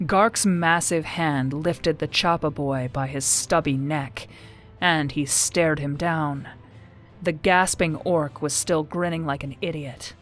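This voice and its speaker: A woman narrates dramatic fiction in a low voice. She takes her time with the scripted prose, reading as an audiobook performer over faint music. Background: music behind the voice.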